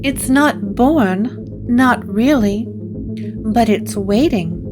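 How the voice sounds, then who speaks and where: A woman acts out a quiet, self-possessed woman in a gothic audio drama. She speaks slowly, with a hushed and faraway air. Music plays clearly behind her. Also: a little high, voice pushed up. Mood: quietly assured. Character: quiet, assured, dreamy woman of gothic occult shop.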